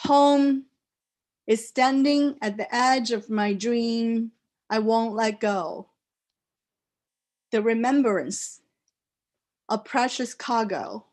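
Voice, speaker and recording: A poet whose first language is a Chinese dialect, speaking with a Chinese accent and reading her poems aloud in slow, deliberate phrases with pauses. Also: on the high side, a little mellow. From China. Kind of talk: poetry reading. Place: live reading event.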